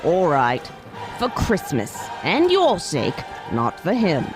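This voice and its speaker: An actress playing a loving yet easily indignant poor wife and mother in an audio drama, pointed and expressive. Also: fairly low pitch, takes it slowly, over music, exaggerated voice. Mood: grudging.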